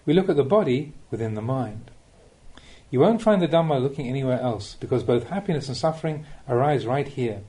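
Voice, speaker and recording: Male narrator for spiritual audiobook: soft mellow tone, animated pitch movement, measured teaching delivery. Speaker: narrator reading Buddhist teachings. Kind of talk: audiobook reading of a spiritual talk.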